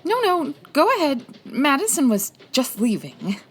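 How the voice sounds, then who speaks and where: A woman playing a sassy, sharp female private detective in a comedic 1940s-style radio drama, with wry, teasing delivery. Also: high voice, big pitch swings, unhurried, slight background noise. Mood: exasperated. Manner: wry, teasing, dry quips.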